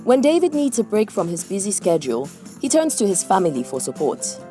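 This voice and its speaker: A woman's voice: mid-range voice, fairly quick. A woman narrating a news feature in a polished broadcast voice-over. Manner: polished, lively broadcast delivery. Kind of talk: scripted narration. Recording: voice-over over loud music.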